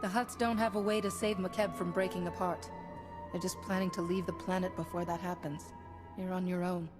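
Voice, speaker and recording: A woman's voice: on the low side, level tone, a little fast, close to an everyday voice. Composed heroine played by a woman, music behind. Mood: decisive. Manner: composed, formal, decisive. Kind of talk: scripted game acting.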